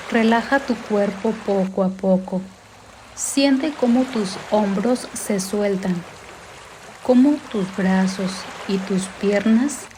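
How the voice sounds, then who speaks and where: A woman narrating a guided mindfulness meditation in a calm, gentle, soothing way, giving unhurried instruction. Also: medium pitch, slight background noise. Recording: clear water and sea ambience behind. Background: sea sounds and background music.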